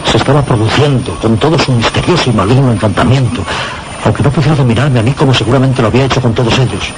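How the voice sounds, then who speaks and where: An actor with a Castilian Spanish accent playing a shaken man who recounts a supernatural horror in halting, dramatic radio narration. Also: mid-range voice, lightly acted. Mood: dread. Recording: very noisy radio drama soundtrack.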